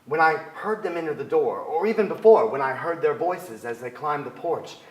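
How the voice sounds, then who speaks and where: A man's voice: on the high side, animated, moderate pace, lightly acted. A man in his forties playing a sensitive, yearning writer confessing boyhood feelings in a theatrical staged reading on a large stage. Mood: yearning confession. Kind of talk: theatrical literary narration. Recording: echoey theater stage sound.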